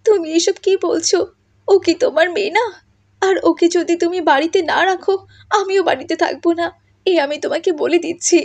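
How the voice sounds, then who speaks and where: Very high pitch, young wife and mother acted by a woman, earnest, emotional, cartoon drama. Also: a little fast, darkish tone. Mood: indignant. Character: anguished young village wife defending her daughter.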